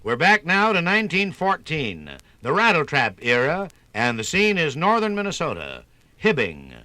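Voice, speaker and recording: A man's voice: a little high, huge pitch swings, normal speed, pushed and bright, no echo. A man narrating a scripted 1950s radio documentary in an emphatic, polished announcer's delivery. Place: radio studio.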